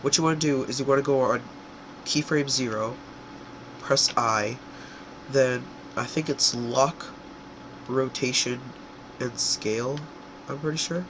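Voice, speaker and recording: A young man with a cold, his voice stuffy and congested, walks through a software tutorial in a loose, rambling way, often stopping to correct himself. He is recorded on a home computer mic, with a clear air-conditioning hum behind him. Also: medium pitch, measured pace, pauses often. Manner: rambling, filler-heavy, self-correcting. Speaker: tutorial creator.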